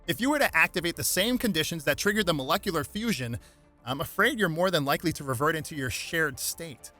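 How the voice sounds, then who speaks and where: A measured, brainy male scientist hero , acted by a man, explains things precisely in storybook style. The voice is bright, over faint music. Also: fairly high pitch, expressive, pitched above the performer's own voice. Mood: grave.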